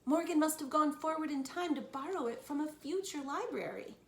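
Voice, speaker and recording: A woman's voice: high voice, brisk, echoey room, voice pushed up. A woman reading a children's storybook, voicing an eager, fearless, impulsive young girl who tends to exclaim. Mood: amazed. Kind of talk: children's storybook read aloud.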